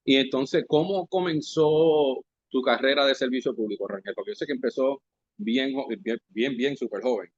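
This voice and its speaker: A man's voice: medium pitch, dry recording. A man hosting a podcast interview, casual and conversational, often stumbling and restarting as he feels his way into a question. His voice sits in a middling range, recorded close and dry with slightly dull, muffled sound. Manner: conversational, halting, full of fillers and restarts. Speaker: podcast host.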